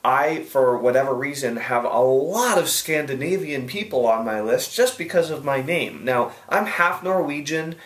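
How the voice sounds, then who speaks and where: A man's voice: medium pitch, lively intonation, normal speed, few pauses, fairly bright tone, reverberant. A man hosting a video advice show, answering a viewer's question in a rambling, chatty way with restarts and asides. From United States.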